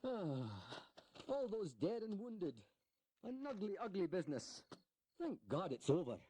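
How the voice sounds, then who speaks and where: An actor playing an earnest, worried founding statesman in a historical audio drama, deliberating haltingly and with emphasis. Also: high-pitched, sing-song, dark tone, husky, heavily acted. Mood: grieved, weary.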